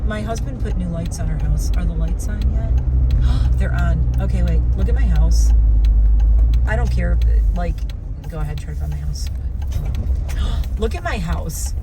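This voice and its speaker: A woman's voice: on the low side, lively intonation, a little slow, long pauses. Chatty Midwestern woman over road noise. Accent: Midwestern American. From Michigan, US Midwest. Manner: rambling, candid, talks while driving. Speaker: vlogger. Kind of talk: casual chatty monologue to camera. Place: inside a car at night. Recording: loud road and car noise.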